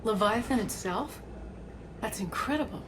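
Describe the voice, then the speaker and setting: An actress playing an earnest, shaken archaeologist in a sci-fi video game, explaining things hesitantly. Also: female voice, medium pitch, expressive, moderate pace, noisy background, lightly acted. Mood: dazed, pondering. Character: earnest, shaken female archaeologist, absorbed in research.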